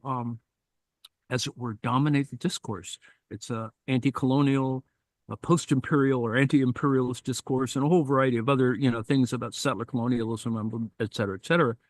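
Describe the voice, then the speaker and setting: A man of middle pitch reads a passage aloud and breaks off to comment. He talks in a thoughtful, halting way, with plenty of fillers and asides. The sound is dry and close. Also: a bit bright, no room sound. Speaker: discussion leader reading aloud. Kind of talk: reading and commenting in a live-streamed discussion.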